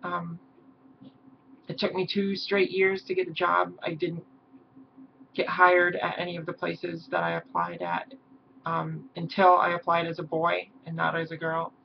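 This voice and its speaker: A hoarse young woman talking haltingly in a personal vlog, with many pauses and ums. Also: on the low side, level tone. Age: young adult. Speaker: vlogger. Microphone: camera mic. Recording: dull lo-fi mic with faint hiss.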